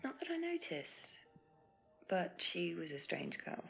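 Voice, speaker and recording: A woman's voice: on the low side, very animated, measured pace, vocal fry, fairly bright tone, soft background music, full character voice. An actress playing a guarded, curt pub landlady in a filmed mystery game. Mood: evasive. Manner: guarded, evasive.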